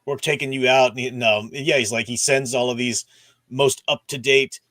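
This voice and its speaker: A man's voice: medium pitch, steady tone, moderate pace, sharp tone, no echo. An enthusiastic, chatty man co-hosting a casual discussion and recapping as he goes. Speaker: podcast co-host.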